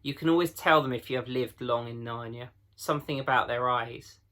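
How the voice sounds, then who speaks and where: A man's voice: mid-range voice, fairly quick, pushed and bright, close to an everyday voice. A man voicing a blunt, grave male beaver in a storybook reading, with weighty, urgent delivery. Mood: grave, knowing.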